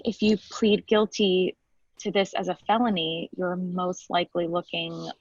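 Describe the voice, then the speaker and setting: A woman reading a composed young female defense lawyer in a table read, calm and explaining. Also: on the low side, husky, no room sound, highs cut off. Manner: measured, explaining calmly.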